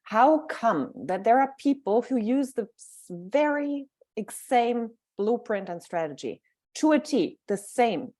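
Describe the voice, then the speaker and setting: A woman's voice: on the high side, expressive, measured pace, mellow. A woman from Germany with a German accent, speaking informally as a mindset coach and thinking aloud as she goes. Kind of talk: guest talk on a livestream.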